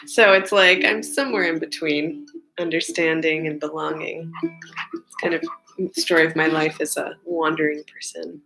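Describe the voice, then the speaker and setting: A woman's voice: sits low, fairly even intonation, moderate pace, vocal fry, poor audio. A singer-songwriter woman chatting casually between songs at a concert streamed from her home, rambling and chatty with lots of fillers. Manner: rambling and chatty, many fillers and side remarks.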